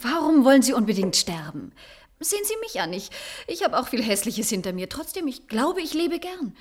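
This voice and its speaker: A woman's voice: on the high side, sing-song, moderate pace. An actress playing a young nurse in her twenties, candid, hesitant and compassionate, in a German radio drama. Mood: earnest. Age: 20s. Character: young female nurse, twenty-six, frank, troubled, compassionate.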